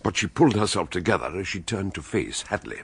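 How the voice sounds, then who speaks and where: An actor playing the grave, measured narrator of a radio detective mystery, telling it with suspense. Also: male voice, deep voice, sing-song, gravelly, husky, exaggerated voice.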